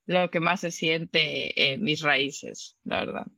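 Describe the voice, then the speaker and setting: A woman guest talks about her roots and work in an upbeat, lively way, laughing often. Her voice is bright and sharp. The sound is dry, with no room echo. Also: low voice, slow, vocal fry. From born in the United States, raised in the Dominican Republic, Ecuadorian family. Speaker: tech worker, podcast guest. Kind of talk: conversational interview.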